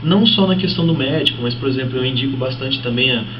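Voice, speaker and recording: A Brazilian man talks as an interview guest, explaining things conversationally with plenty of examples. His mid-pitched voice sits off the mic in a dull recording. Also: quick pace, over a noisy crowd. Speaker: fitness trainer, guest. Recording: muffled, noisy, distant-sounding broadcast audio.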